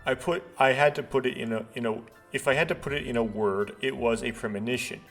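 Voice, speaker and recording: A man doing a casual let's play, haltingly thinking aloud and reading visual novel text out. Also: medium pitch, fairly bright tone. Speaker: let's player. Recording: background music behind voice. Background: game music.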